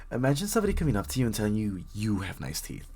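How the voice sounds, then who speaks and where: A man's voice: lowish voice, darkish tone, husky, heavily acted. A man playing a very shy, bookish young stranger in an intimate roleplay audio drama, stammering, rambling and apologising nervously as he addresses the listener.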